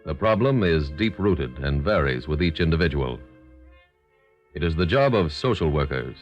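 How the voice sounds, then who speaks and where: An American man as a solemn, authoritative narrator of an old radio crime show, delivering scripted commentary. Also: a bass voice. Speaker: announcer-narrator. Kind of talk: 1940s-50s radio announcing, scripted narration. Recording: dull old radio recording. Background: music.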